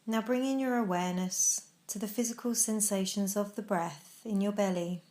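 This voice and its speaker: Female meditation narrator, mid-range pitch, instructional and measured, leaving deliberate space between phrases. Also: fairly even intonation, dark tone. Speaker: meditation guide.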